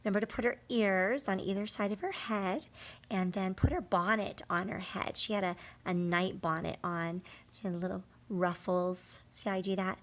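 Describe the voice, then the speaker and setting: A woman teaching young children in a read-aloud lesson, asking questions, pausing and drawing out key words for emphasis. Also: mid-range voice, fairly even intonation. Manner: prompts with questions, pauses, affirms answers, drawn-out emphasis on key words. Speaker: early literacy teacher.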